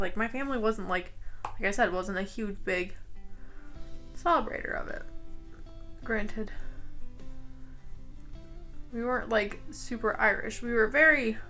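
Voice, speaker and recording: A woman's voice: a little high, sharp tone. A young American woman chatting casually while doing her makeup, rambling with lots of "like" and "um". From United States. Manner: chatty, rambling, heavy use of "like" and "um". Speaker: beauty vlogger. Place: home, indoors.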